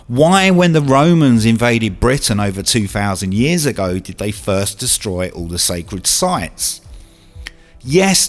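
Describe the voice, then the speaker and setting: Man narrating with lively, swinging intonation. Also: mid-range voice. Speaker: video narrator and presenter. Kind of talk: scripted documentary-style narration. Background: music.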